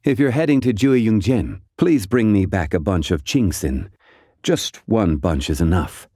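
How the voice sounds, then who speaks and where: A man playing a composed, ancient, scholarly gentleman in a video game, speaking with measured, formal and deliberate diction. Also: fairly low pitch, expressive, no room sound, studio quality. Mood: calm, formal. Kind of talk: video game character voice lines.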